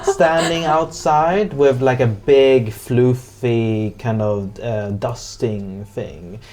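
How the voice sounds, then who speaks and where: A game master improvising narration for a tabletop roleplay in a halting, hesitant way. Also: mid-range voice, darkish tone.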